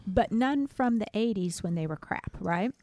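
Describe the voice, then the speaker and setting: A woman's voice: mid-range voice, expressive, moderate pace, dark tone. A woman co-hosting a podcast in casual comedic banter, with a teasing, conversational way of talking. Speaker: podcast co-host.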